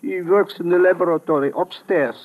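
An actor playing an anxious old mill janitor in a radio drama, hesitant and pleading. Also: male voice, high-pitched, a little fast, narrow, tinny audio, lightly acted. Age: older. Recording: vintage radio broadcast recording.

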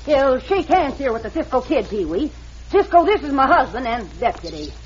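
A woman's voice: sits high, sing-song, normal speed. An actress playing a blunt, bossy frontier woman sheriff in a 1940s radio western, with a brusque drawl. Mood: relieved. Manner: brusque western drawl, orders people about. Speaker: lady sheriff. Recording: dull old radio recording with hiss.

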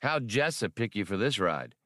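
A man reading an audiobook, voicing a cocky, hot-tempered male smuggler captain who talks scornfully and loudly. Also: fairly low pitch, very animated, no echo, clean studio sound. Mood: mocking. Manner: scornful, loud and quick to anger.

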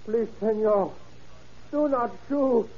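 An actor playing a cowardly, wary Mexican smuggler who pleads and philosophizes, in old-time radio drama style. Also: male voice, very high voice, takes it slowly, heavy background noise. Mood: frightened, pleading. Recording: muffled old radio recording.

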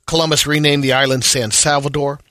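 A man co-hosting a radio show, reading news items in a steady, matter-of-fact way. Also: fairly high pitch, fairly quick, no room sound, studio quality.

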